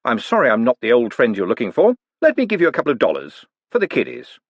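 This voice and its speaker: A man voicing a quick-witted young male swindler, smooth and glib. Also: medium pitch, huge pitch swings, dry recording, dull audio, pitched above the performer's own voice.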